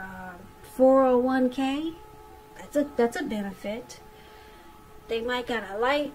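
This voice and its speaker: A woman's voice: a little high, darkish tone, rough recording. A woman giving a conversational tarot reading straight to the listener, repeating phrases for emphasis. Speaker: tarot reader.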